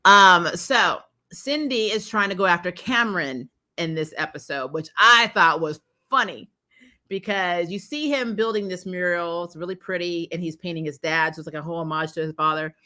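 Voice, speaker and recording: A woman hosting a casual, unscripted review, retelling scenes in slangy, animated talk and acting out reactions. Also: lowish voice, normal speed. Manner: animated, exclaiming, acts out reactions, laughs often; informal slangy talk with frequent "like" and "you know". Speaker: host of a TV episode review show.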